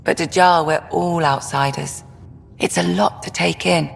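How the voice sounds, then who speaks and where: A discreet female ship's doctor from a sci-fi game. Her very low voice crackles with fry, her delivery is measured and precise, and long reverb surrounds it. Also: steady tone, faint music behind, exaggerated voice. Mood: calm, professional. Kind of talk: video game character dialogue.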